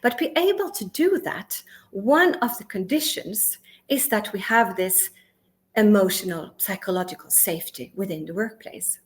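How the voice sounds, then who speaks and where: Animated woman giving a talk. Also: medium pitch. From Sweden. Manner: emphatic, asks the audience rhetorical questions; lists words one by one with pauses between them. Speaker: conference speaker and workplace consultant. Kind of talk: prepared conference talk.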